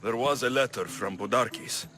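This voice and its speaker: An actor playing a rugged, confident mercenary in a video game, blunt and wry. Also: male voice, mid-range voice, normal speed, over music, natural voice. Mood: intent, serious. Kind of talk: video game cutscene acting.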